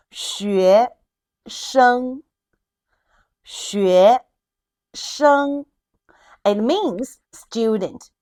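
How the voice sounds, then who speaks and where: A woman language teacher giving an instructional lesson, drilling phrases with deliberate, clearly separated syllables. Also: fairly high pitch.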